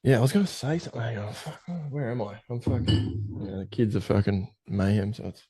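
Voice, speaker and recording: A man's voice: lowish voice, expressive, a little fast, noisy background. A man hosting a podcast, chatting loosely, rambling and swearing often. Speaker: podcast host. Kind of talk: casual podcast chat.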